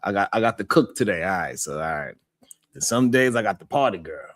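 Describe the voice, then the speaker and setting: A man's voice: lowish voice, animated, brisk, dry recording, clean studio sound. A man hosting a live stream in casual chat, telling loose slangy stories and laughing often.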